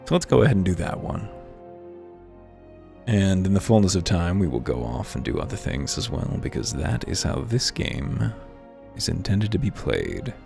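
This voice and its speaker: Very deep, gravelly man narrating gameplay. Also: huge pitch swings, moderate pace. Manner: wry, offhand, reads game text aloud. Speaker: let's play host. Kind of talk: casual gameplay commentary. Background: faint game music.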